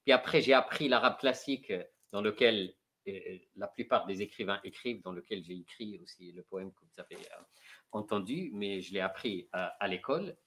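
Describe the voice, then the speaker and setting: A man from Morocco, a poet and translator, speaking slowly and haltingly with many restarts at a panel talk before an audience. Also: lowish voice, fairly bright tone. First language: Moroccan Arabic. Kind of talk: panel discussion. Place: café venue with audience.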